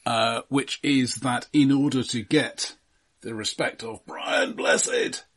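A man's voice: medium pitch, steady tone, slow, fairly bright tone. A man co-hosting a casual film discussion, precise and explanatory with a dry wit. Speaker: film podcast co-host.

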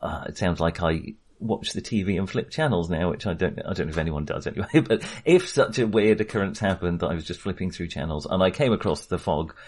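A man with a fairly low voice speaks as a host in a relaxed discussion of film. He wanders through his thoughts, hedging and qualifying them as he goes. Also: brisk, few pauses, breathy edge.

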